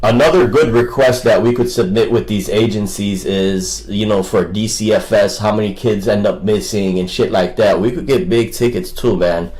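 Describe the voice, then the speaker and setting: A man's voice: on the low side, moderate pace, few pauses, a little mellow, low-quality recording. A man rambling casually as a livestream host, with a combative, mocking and coarse way of talking.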